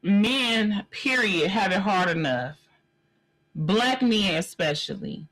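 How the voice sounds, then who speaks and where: A woman in her forties hosting a live stream, talking in a casual, blunt way. Her pitch sits in a middle range, and she leans hard on her points while addressing the viewer directly as "sis". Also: expressive, slow, fairly bright tone, rough recording. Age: 40s. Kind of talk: casual commentary on a news story.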